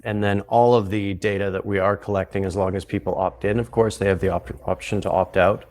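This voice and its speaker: A man with a Canadian accent, a guest on an interview podcast, explaining his work in a relaxed way and often restarting his sentences. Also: fairly low pitch, a little fast. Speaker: guest, psychedelic retreat founder. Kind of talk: conversational interview.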